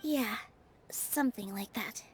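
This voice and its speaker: An actress playing a sweet, earnest young theater dancer in a video game, polite and gentle in manner. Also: female voice, a little high, slow pace, slight background noise.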